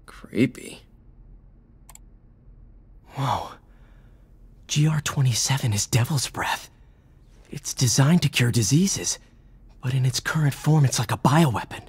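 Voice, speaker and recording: A man's voice: medium pitch, slow, pauses often, slightly rough. An actor playing a quippy, earnest young male superhero who talks to himself in wry asides.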